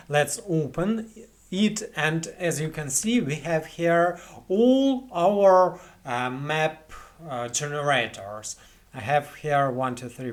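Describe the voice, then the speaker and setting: Slow, halting Russian-accented young man. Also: a little high. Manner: hesitant, many fillers and restarts. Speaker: tutorial host. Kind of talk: screen-recorded software tutorial. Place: small indoor room at a computer.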